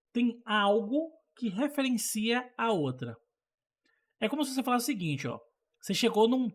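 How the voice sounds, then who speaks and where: A Brazilian teacher giving an informal programming lesson, explaining conversationally with examples and checking that learners follow. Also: medium pitch. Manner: conversational, checks understanding, acts out example dialogue.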